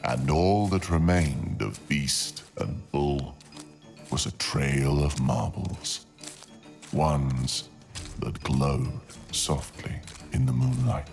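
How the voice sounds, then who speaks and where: A male narrator delivers scripted fantasy in an ASMR storytelling style. His voice is exceptionally deep and gravelly. He takes his time, placing each phrase deliberately with dramatic pauses, over faint music. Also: a little mellow.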